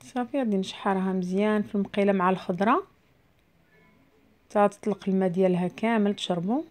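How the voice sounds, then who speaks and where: A woman with a Moroccan Arabic accent talks quickly through a home recipe. She explains each step as she cooks, in a relaxed, chatty way. Her voice sits at a middle pitch. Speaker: cooking vlogger. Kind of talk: casual cooking voice-over.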